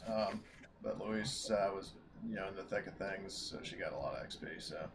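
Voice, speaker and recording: A man chatting casually as a tabletop game master, speaking haltingly as he thinks aloud. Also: deep voice, gravelly, over a noisy crowd. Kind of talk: casual post-game chat.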